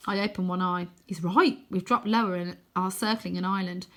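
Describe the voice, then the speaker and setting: A woman reading a children's novel aloud as expressive narration, with dramatic, animated emphasis. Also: fairly low pitch, normal speed.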